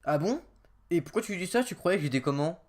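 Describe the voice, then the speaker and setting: A man's voice: high-pitched, animated, fast. A man playing a timid, awkward teenage schoolboy in love in an anime fan dub, hesitant and whiny. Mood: flustered.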